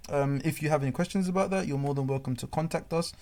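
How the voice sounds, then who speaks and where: A man's voice: a little high, fast talker, a little mellow. A man co-hosting a casual chat, giving earnest advice to trainee teachers and often repeating words as he thinks. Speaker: podcast co-host.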